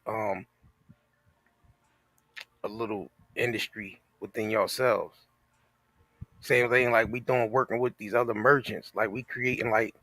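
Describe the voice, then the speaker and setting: A man's voice: medium pitch, vocal fry, bright, forceful tone, dry recording. An American man co-hosting a casual talk show, speaking in an emphatic, repetitive, slangy and profane way. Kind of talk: casual opinionated chat.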